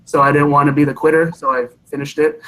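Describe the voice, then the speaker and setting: A man in his late twenties on a panel, answering student questions about his engineering career with measured advice and frequent pauses. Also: fairly high pitch, little pitch movement, sharp tone, poor audio. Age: 20s. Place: college presentation event.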